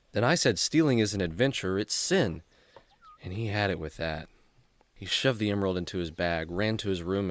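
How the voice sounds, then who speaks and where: A man's voice: deep voice, lightly acted. A man playing a friendly, earnest young adventurer in a children's radio drama, speaking like a clean-cut storyteller.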